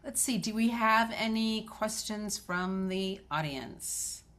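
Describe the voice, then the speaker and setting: A woman's voice: mid-range voice, slow pace, a bit bright. A woman moderating a film festival Q&A, interviewing casually and putting questions in a conversational way.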